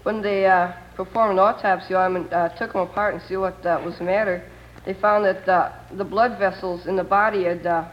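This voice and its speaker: A male quiz panelist, answering off the cuff in a halting, stammering way. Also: high voice, fairly even intonation. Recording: muffled, noisy 1940s radio recording.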